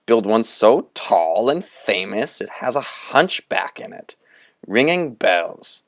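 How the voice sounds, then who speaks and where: A grand old trickster of a storybook, as a man reads him out, declaiming in a showy, sweeping way. His voice swoops up and down with big lively leaps, yet stays rooted low. The sound is close and dry, with no room around it. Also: slow, no echo, exaggerated voice. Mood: grandly scheming. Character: grand, theatrical old male trickster guide.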